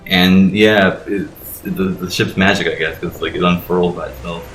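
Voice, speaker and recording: A man's voice: sits low, fairly even intonation, moderate pace, a bit bright. A man giving casual, explanatory and sometimes sweary commentary while playing a video game. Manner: explanatory, profane. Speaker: let's play host playing and explaining the game. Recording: roomy echo with game music underneath.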